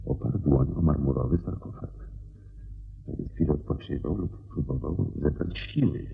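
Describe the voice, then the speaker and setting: Deep, gravelly detective, muffled sound. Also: male voice, exaggerated voice. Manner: measured, inquisitive, deliberate. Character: astute, courteous male private detective questioning a client. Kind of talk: radio play acting. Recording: dull, muffled radio play recording.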